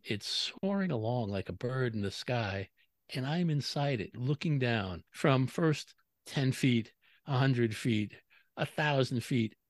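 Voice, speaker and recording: A man's voice: medium pitch, no room sound. Enthusiastic male podcast co-host, dry sound. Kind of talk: casual podcast chat.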